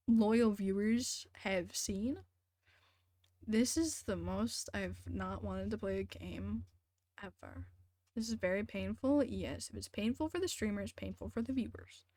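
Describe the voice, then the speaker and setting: A woman's voice: medium pitch, a little mellow, no echo. A young woman streaming a game, chatting casually in a blunt, sweary, complaining way. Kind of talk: casual livestream chat and game commentary. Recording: music behind the voice. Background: game music.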